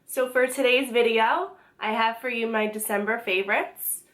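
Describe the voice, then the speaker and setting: A young woman talks through her favorite beauty products in a relaxed, chatty way, her voice a little hoarse from being sick. She pauses often on "um" and restarts her sentences as she goes. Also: fairly high pitch. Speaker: beauty vlogger. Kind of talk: casual product review to camera.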